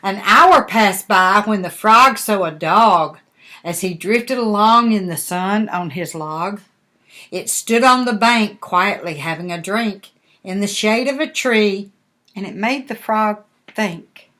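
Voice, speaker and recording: Grandmotherly woman, older, for picture-book reading; unhurried, mid-pitched, gently chatty and explanatory. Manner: gentle explaining, folksy, addressing young children. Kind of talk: storybook read-aloud with chatty asides.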